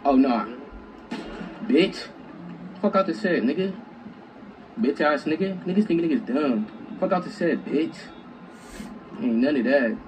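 A man's voice: fairly high pitch, animated, unhurried, long pauses. A man playing a hot-tempered, confrontational street gang member in improvised game roleplay, aggressive, repetitive and profane. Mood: challenging. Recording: noisy game stream audio.

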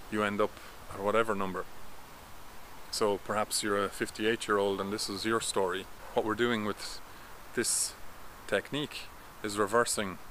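A man in his thirties talks to the camera in a garden and explains things in a steady, instructive way. His voice sits a little low but sounds bright and forward. Faint outdoor ambience and distant traffic come through in the background. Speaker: vision coach. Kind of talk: talking-head explainer vlog.

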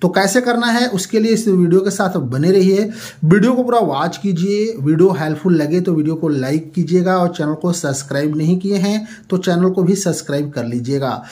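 A man's voice: high voice, brisk, darkish tone. A man hosting a tech tutorial, explaining an app step by step in a repetitive, instructional way.

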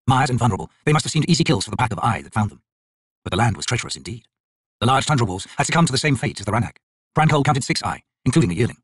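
A man reading a fantasy audiobook in a theatrical, solemn, expressive manner. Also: medium pitch, very fast, slightly rough, dry recording. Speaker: audiobook narrator, actor. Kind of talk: dramatic scripted fantasy audiobook narration.

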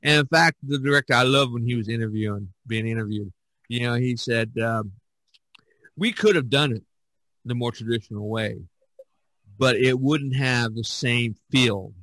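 A man hosts a casual podcast chat in a mid-pitched voice. He rambles and halts, repeating words as he goes. The sound is dry, with little room echo. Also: moderate pace, fairly bright tone. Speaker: podcast host.

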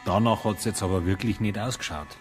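A man's voice: fairly low pitch, a little fast, over music, lightly acted. An actor playing a level-headed, sceptical male police detective in a naturalistic radio drama. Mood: measured doubt.

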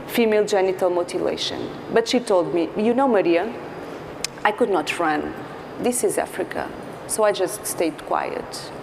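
A woman in her thirties from Portugal with a Portuguese accent, giving an earnest conference talk as a psychologist, pausing often with fillers. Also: mid-range voice, darkish tone. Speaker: clinical psychologist speaker. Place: large dark stage. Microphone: lapel mic. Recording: large hall with faint noise.

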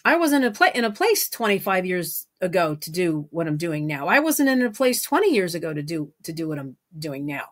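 A woman's voice: on the low side, sing-song, fairly quick, hardly any pauses. Emphatic middle-aged woman podcaster. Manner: emphatic, repeats phrases for stress. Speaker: podcast host and spiritual healing guide. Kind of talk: unscripted solo podcast monologue.

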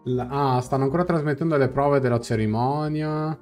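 A man's voice: medium pitch, a little fast. A young adult Italian man streaming, translating game dialogue aloud and correcting himself as he goes. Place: small indoor room at home. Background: soft game music.

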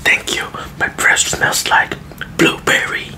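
Male ASMR host, thirties. Breathy whisper throughout, very slow short phrases, close on the mic. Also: pushed and bright. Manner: slow, halting, short phrases with mouth sounds.

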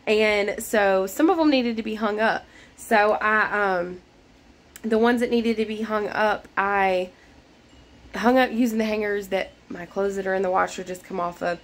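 A woman's voice: mid-range voice, unhurried. A woman in her thirties talks straight into a phone held at arm's length in a small bedroom. She rambles in an easy, chatty way with plenty of fillers. Her voice is worn and fading from a recent illness. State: losing her voice after being sick. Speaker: vlogger. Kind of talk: casual cleaning vlog chat. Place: small bedroom in a mobile home.

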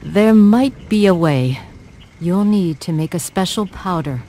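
Slow, low older wise woman. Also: lively intonation, mellow. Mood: concerned, instructing. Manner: deliberate, weighty. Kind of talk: video game character acting.

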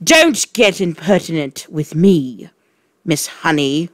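A woman's voice: low-pitched, expressive, slow, no room sound, studio quality. A woman voicing an enormous bullying headmistress in a storybook, barking out scornful, furious insults.

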